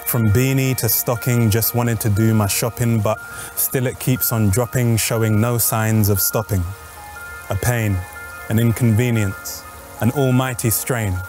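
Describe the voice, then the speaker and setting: A man reciting a poem slowly and rhythmically. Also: mid-range voice, steady tone, music in the background. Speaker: poet. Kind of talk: poetry reading.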